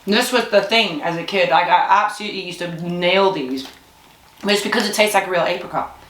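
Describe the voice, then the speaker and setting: A woman's voice: on the low side, a little fast, a bit bright. A woman with a Zimbabwean accent chatting casually and laughing often while tasting snacks. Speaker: vlogger. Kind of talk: casual chat. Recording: echoey room, faint noise.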